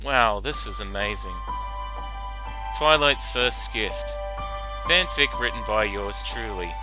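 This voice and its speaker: A man giving casual reaction commentary as host, talking in a halting, rambling way with lots of filler phrases. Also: lowish voice, animated, over music, poor audio. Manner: halting, rambling, frequent "you know". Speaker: host giving commentary on a fan-fiction reading.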